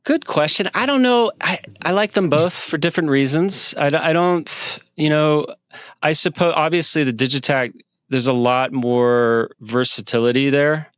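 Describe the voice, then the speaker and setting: A man with a smooth, resonant voice and a dry, close sound, speaking in a halting, casual way. He fills his answers with ums and restarts as he explains his gear. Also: on the high side, a bit bright, no echo. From United States. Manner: halting, many fillers and restarts. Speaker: guest musician. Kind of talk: casual interview talk. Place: home studio with synth rack.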